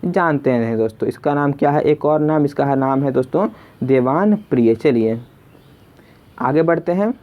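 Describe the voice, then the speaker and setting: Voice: mid-range voice, moderate pace. A tutor narrating a question-and-answer exam lesson, repeating questions and addressing listeners as friends. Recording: dull, lo-fi sound.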